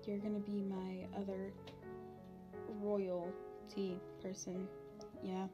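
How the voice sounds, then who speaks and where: Woman as a slow, hesitant demon princess. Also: medium pitch, monotone, dull audio, lightly acted. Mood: hesitant, nervous. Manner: hesitant, halting, informal with long pauses. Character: shy, excited young demon princess girl. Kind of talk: ASMR roleplay addressed to the listener. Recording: background music.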